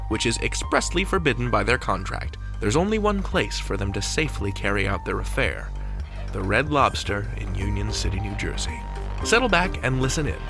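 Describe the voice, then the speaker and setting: A man's voice: mid-range voice, gravelly. Theatrical male podcast host over music. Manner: theatrical, playfully grandiose. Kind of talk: scripted narration.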